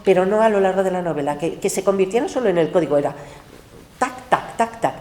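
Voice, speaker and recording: A woman's voice: fairly low pitch, expressive, fast, darkish tone, echoey room, a little hiss or hum. A woman translator talking candidly and with life at a book club, laughing often. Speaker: literary translator.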